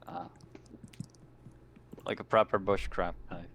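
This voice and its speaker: A man's voice: lowish voice, sing-song, slow pace, vocal fry, a bit bright. A man chatting casually as a player in a tabletop roleplaying game over a voice call. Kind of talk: casual in-character game chat. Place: online voice call. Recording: noisy voice call.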